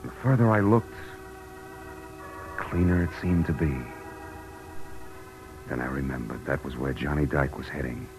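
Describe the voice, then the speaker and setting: A man's voice: extremely low pitch, normal speed, gravelly, pauses often, low-quality recording. A man with an American accent playing a hard-boiled, reflective private detective in a 1940s radio drama, telling it in a clipped, world-weary way. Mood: somber, reflective. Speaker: lead actor and narrator. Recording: vintage radio recording with music.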